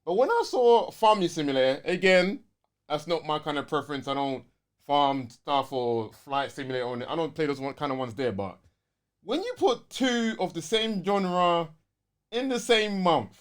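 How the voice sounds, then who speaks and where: A man's voice: on the high side, expressive, normal speed, clean tone. A man with a London accent co-hosting a gaming podcast, chatting casually in slang as he reasons things through. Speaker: podcast co-host.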